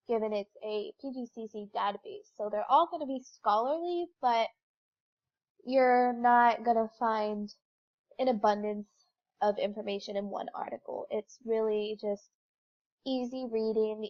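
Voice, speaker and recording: A young woman with a stuffy, congested voice from a lingering cold explains a slideshow step by step. She talks informally and sits a little high in pitch. The recording sounds dull and lo-fi. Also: a little mellow. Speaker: student presenter.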